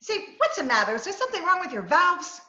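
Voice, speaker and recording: A woman's voice: very high-pitched, fast. A woman acting a plucky, headstrong, scatterbrained lady reporter in a 1940s-style radio comedy, bossy and theatrical. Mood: snippy. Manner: bossy, excitable, theatrical delivery. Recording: roomy, muffled, faintly noisy video-call recording.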